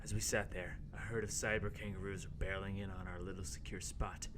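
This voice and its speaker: A man's voice: low-pitched, flat delivery, a little fast, noisy background, lightly acted. A man playing a jumpy young intern, narrating his horror tale in a melodramatic, ominous way for an amateur radio play. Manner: melodramatic, ominous storytelling broken by shouted sound effects.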